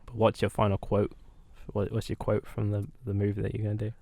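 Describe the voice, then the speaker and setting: A man's voice: lowish voice, completely flat delivery, fairly quick, dark tone, no echo. A man co-hosting a podcast with jokey, informal banter about films. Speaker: podcast co-host. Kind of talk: casual banter.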